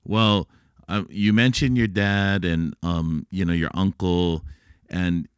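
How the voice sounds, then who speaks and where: A man from Hawaii hosts a podcast, asking questions in a friendly, chatty way. His voice sits low and sounds smooth and resonant. The recording is dry and close. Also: steady tone, slow pace, no room sound. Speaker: podcast host. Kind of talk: casual interview.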